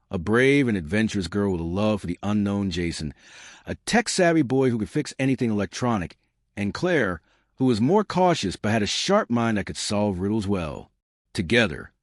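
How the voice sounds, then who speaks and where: A man narrating a scripted horror story in a measured, dramatic way. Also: fairly low pitch, no echo, studio quality.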